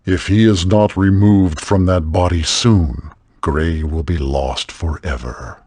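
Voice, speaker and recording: A man playing a solemn, formal, knowing otherworldly guide in a video game, with a husky, measured, grave delivery. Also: low-pitched, darkish tone, no echo, heavily acted.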